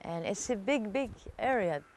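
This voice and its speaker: A woman talking casually in an unscripted interview, halting and repeating herself, with informal emphasis. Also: medium pitch, lively intonation, a little mellow.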